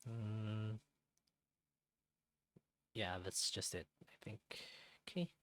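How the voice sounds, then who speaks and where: A person reading a visual novel aloud and commenting casually, thinking out loud in a hesitant, reactive way. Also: medium pitch, steady tone, drawn-out words. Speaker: let's play narrator and commentator. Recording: background music behind the voice.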